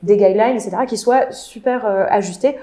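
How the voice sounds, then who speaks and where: Woman panelist, warm mellow tone, halting but opinionated delivery. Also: mid-range voice. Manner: hesitant, many fillers and restarts, opinionated. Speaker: content designer, panelist. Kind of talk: casual panel discussion.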